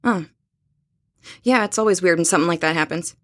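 An actress in her twenties playing a young woman student in an audio drama, talking in quick, exasperated chatter. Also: on the low side, lightly acted. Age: 20s.

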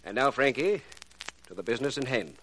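Cold intellectual villain played by a man, vintage radio crime drama. Deliberate, pompous delivery, medium pitch, light surface noise. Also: lively intonation, fairly bright tone, lightly acted. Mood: coolly menacing. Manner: pompous, deliberate, ornate diction. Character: cold, erudite male extortionist crime boss called professor. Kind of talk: 1940s radio acting. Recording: noisy, crackly old radio recording.

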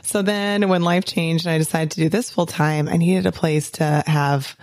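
A woman hosting a podcast from a small home office, chatting casually and laughing often, her voice a little hoarse from a cold. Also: low voice, steady tone, normal speed, a little mellow, no room sound. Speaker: podcast host.

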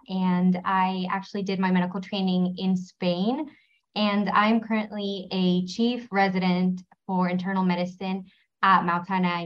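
A woman who is a chief resident, speaking reflectively in a podcast interview. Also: on the low side. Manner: reflective, thoughtful. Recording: dull lo-fi sound.

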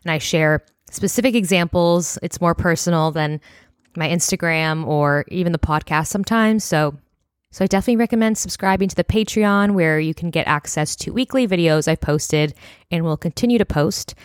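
A woman in her twenties, a little hoarse, hosting a casual solo podcast and chatting with lots of fillers. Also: fairly low pitch, moderate pace, no echo, clean studio sound. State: slightly hoarse, voice near breaking. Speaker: podcast host.

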